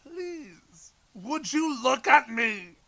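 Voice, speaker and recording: A broken, unhinged male clown villain. The voice creaks and cracks, swinging sharply up and down. It is theatrical and halting, drawn out very slowly. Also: sits high, animated, pushed and bright, full character voice. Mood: anguished pleading. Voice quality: creaky, breaking voice. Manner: theatrical, halting, swinging between laughter and anguish. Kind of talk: fan audio drama acting.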